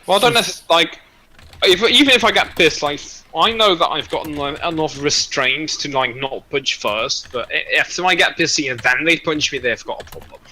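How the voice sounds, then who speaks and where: Blunt, bright-voiced sweary young-sounding man. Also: on the high side, moderate pace, slight background noise, rough recording. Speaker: streamer. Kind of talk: casual chat.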